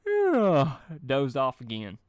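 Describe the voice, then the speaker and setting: A man's voice: medium pitch, wildly swooping pitch, takes it slowly, clean tone, no room sound, lo-fi sound. A man voicing a tired, folksy female farm pony who slurs drowsily. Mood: weary. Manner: slurred, drowsy.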